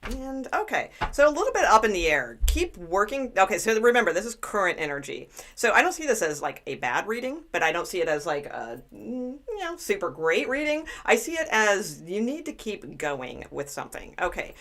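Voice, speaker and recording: A woman with a low speaking voice giving a chatty, rambling tarot reading to live viewers, with lots of fillers and restarts. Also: big pitch swings, normal speed, hardly any pauses, a bit bright. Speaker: tarot reader.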